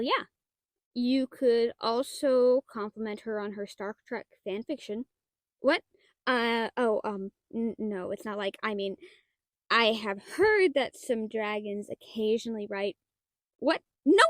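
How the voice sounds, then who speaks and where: A woman's voice: on the high side, no room sound. A woman playing a shy, nerdy half-dragon librarian in a roleplay audio drama, talking in a hesitant, flustered, stammering way. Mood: bashful, then embarrassed denial. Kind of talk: roleplay audio drama, one-sided dialogue.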